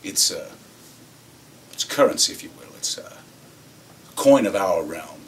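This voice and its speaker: An actor playing a cynical, swaggering corporate executive, telling his story in a theatrical monologue with deliberate pauses. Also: low voice, animated. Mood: smug, cutting. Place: acting workshop room. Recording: distant mic with faint room noise.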